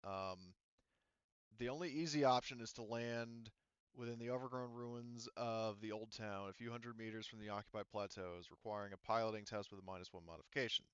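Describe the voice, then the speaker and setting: A man's voice: on the low side, steady tone, fairly quick, clean tone, no echo. A man narrating as game master for a tabletop game, rambling with many fillers and restarts, heard over dull, lo-fi call audio.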